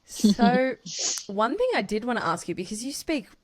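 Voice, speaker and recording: A woman's voice: fairly high pitch, expressive, moderate pace. A woman hosting an interview over a video call, talking in an effusive, gushing way and leaning on "you know" and "like.". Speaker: podcast host and interviewer. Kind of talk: warm, enthusiastic interview chat.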